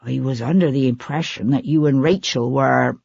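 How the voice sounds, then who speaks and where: A narrator voicing a young ex-soldier man posing as a timid, apologetic gentleman, every sentence rising like a question. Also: mid-range voice, normal speed, gravelly, slightly rough, dry recording, pitched below the performer's own voice. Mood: meek, diffident. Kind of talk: audiobook character voice. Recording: slightly dull, dry lo-fi recording.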